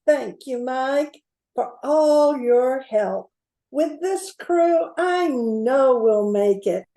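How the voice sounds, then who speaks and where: A woman's voice: high voice, lively intonation, drawn-out words, dark tone, heavily acted. A woman playing a proud, encouraging airline crew member in an amateur radio drama. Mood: proud, grateful. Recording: echoey room.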